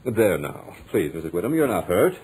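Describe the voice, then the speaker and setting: An actor playing a studious, stubborn young lodger with dry humour, in measured, dramatic 1940s radio horror style. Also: male voice, sits low, big pitch swings, a little mellow, husky, exaggerated voice. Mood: polite, firm. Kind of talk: 1940s radio horror acting, first-person narration. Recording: old radio drama recording with music.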